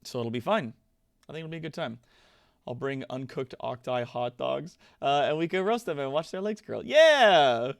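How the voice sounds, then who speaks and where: A man's voice: mid-range voice, moderate pace, creaky, dry recording, studio quality. A man hosting a livestream, chatting casually with viewers and swearing freely. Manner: sweary, animated asides. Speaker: livestream host. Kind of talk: casual chat with stream viewers. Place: indoor home streaming room.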